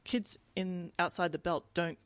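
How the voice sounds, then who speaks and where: A woman co-hosting a podcast, chatting casually about a book in an animated, rambling way and acting out reactions. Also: lowish voice, a little slow, no room sound. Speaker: podcast co-host.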